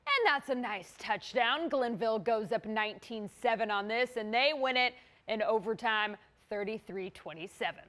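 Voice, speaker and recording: High-voiced woman narrating football highlights. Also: fairly bright tone. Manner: brisk play-by-play calls. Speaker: sports reporter.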